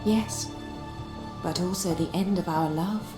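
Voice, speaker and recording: An actress playing a genteel, wistful old widowed countess in a video game, speaking with refined formality and theatrical hesitations. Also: female voice, lowish voice, unhurried, close to an everyday voice. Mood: wistful, nostalgic. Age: older. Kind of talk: video game dialogue acting. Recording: roomy echo, music underneath.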